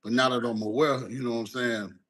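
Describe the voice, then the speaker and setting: A rapper, talking in a casual interview, halting with many fillers and restarts. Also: male voice, fairly even intonation, fairly bright tone. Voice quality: deep voice. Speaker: guest, rapper.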